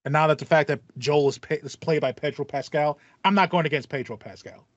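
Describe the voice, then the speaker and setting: A man's voice: on the high side, a little fast, no room sound. A man in his thirties chatting casually as a podcast co-host, stressing points emphatically by repeating himself. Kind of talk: casual video game chat. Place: small home room with foam panels, video call. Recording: slightly dull, band-limited audio.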